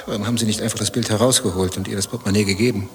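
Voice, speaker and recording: Guy playing nosy pedantic stranger in radio satire, quick talker, lowish voice, dry needling questions. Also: fairly even intonation, a crowd in the background, close to an everyday voice. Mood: pedantic, curious. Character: inquisitive, pedantic male stranger, probing and dryly needling. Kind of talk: dialogue-driven satirical radio play acting.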